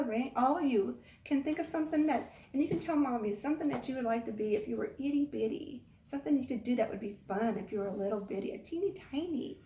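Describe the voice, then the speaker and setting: A woman's voice: sits high, warm tone, a little hiss or hum. A woman teacher hosting storytime for young children, talking in a coaxing sing-song way and asking them questions. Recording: roomy echo, distant microphone.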